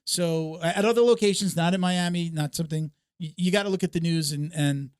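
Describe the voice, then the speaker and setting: A man hosting a podcast in casual chat, halting and circling back over his phrases. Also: fairly high pitch, clear, resonant tone, dry recording, clean studio sound. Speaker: podcast host.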